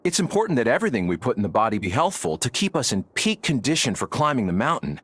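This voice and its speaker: An actor voicing an earnest, health-minded mountain climber in a video game, giving easygoing advice. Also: male voice, mid-range voice, huge pitch swings, fast talker, a bit bright, husky, heavily acted. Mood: earnest advising.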